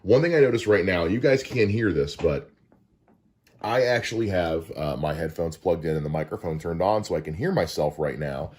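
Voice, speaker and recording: A man's voice: moderate pace, slightly rough. A man hosting a podcast, casually walking through his studio gear on a dynamic broadcast mic, with frequent "uh" fillers. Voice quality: deep voice. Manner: relaxed and explanatory, with frequent "uh" fillers. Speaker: podcast host. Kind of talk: casual gear unboxing walkthrough.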